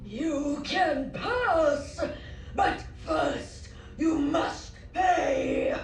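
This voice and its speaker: A woman voicing a greedy, imperious monstrous toll collector in a video game, wailing and shouting demands. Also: sits high, extremely slow, full character voice. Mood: demanding, shrill. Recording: roomy echo, distant, faint music.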